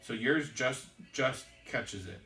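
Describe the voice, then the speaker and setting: A man's voice: medium pitch, slow, pushed and bright, faint music behind. A man hosting a board game show, patiently explaining the rules step by step as he plays, with frequent ums. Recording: roomy room, mic at a distance, faint hiss.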